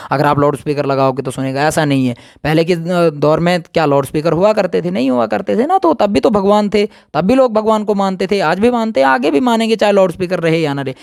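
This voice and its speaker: Man, high pitch, dry sound, emphatic, repetitive, unscripted opinion interview. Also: creaky, smooth, no echo.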